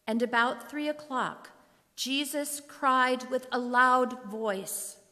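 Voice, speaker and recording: A woman's voice: high voice, takes it slowly, bright, forceful tone. A woman narrating scripture solemnly from a script, in a large reverberant room. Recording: echoey hall with long reverb.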